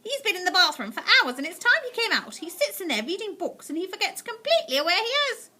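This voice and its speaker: A woman voicing a warm, brave elderly grandmother in a storybook, high and tender. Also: very animated, quick pace, exaggerated voice. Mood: calm.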